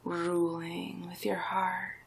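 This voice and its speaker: A smug, scheming male sorcerer, acted out by a woman speaks in an ASMR villain roleplay. The voice is low-pitched for a woman and breathy, often sinking to a whisper. Each phrase comes very slowly and deliberately, with condescending, hypnotic command. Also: flat delivery, exaggerated voice. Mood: scornful.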